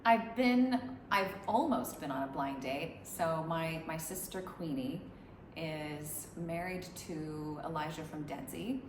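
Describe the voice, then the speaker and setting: A woman's voice: sits low, takes it slowly. A woman in a casual chat, giving long rambling answers with many restarts. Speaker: musician interviewee. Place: room in Dubai. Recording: echoey room with background noise.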